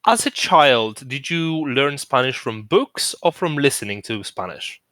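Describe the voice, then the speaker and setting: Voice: mid-range voice, animated, a little slow, a bit bright, no echo. A podcast co-host chatting casually about languages, opinionated and laughing easily. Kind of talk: casual chat.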